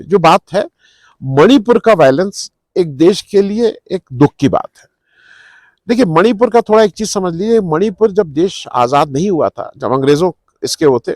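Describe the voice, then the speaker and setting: A man's voice: on the high side, gravelly, a little mellow, no room sound, studio quality. A middle-aged man gives unscripted political commentary, explaining things to viewers in a conversational way and mixing in English words. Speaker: news commentary host.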